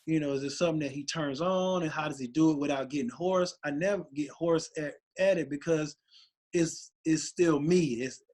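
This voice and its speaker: Animated rapper man, slightly high voice. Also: normal speed. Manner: animated, rambling, voice gets raspy when excited. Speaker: rapper guest. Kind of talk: casual interview talk.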